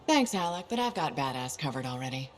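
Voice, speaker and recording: A woman's voice: very deep voice, very animated, fast talker. An actress playing a confident, easygoing female freelancer hero in a video game, with a casual, dry manner. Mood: cocky. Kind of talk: video game cutscene acting.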